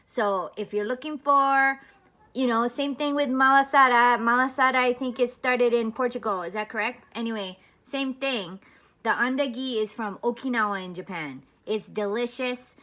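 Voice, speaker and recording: A woman from Hawaii with a fairly high voice, chatting casually as a vlogger. She rambles through her stories in an informal way, dropping in local island slang as she goes. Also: moderate pace, sharp tone. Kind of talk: casual chat to camera.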